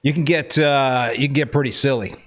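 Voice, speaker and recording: A man's voice: medium pitch, fairly even intonation, a little fast, a bit bright. A man hosting a live talk stream, chatting easily with fillers and repeated words. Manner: easygoing, fillers, repeats words, reads viewer messages. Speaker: live show host. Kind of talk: casual live-stream chat.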